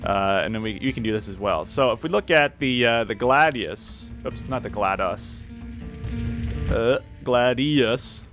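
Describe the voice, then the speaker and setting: A man with a medium-pitched voice commentates a video game playthrough. He is chatty and casual, wandering off on tangents and poking fun at himself. Faint music plays behind his voice. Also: expressive, a little slow. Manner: chatty, rambling, self-deprecating. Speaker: gaming video host.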